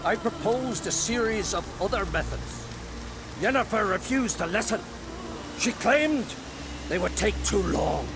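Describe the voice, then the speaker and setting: A stern, proud scholar who recounts a legend with grave, unhurried weight. His voice sits high and moves a lot, rising and falling as he goes. Game music plays clearly behind him. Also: lively intonation, exaggerated voice. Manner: measured, grave storytelling. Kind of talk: game cutscene dialogue. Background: game score music.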